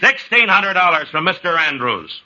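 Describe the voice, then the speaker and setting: An American actor playing a firm, wry frontier marshal in a radio western, speaking deliberately and plainly with pointed dryness. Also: male voice, sits high, very animated, sharp tone, heavily acted. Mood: brisk, firm. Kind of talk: 1950s radio western acting. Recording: muffled, noisy old radio recording.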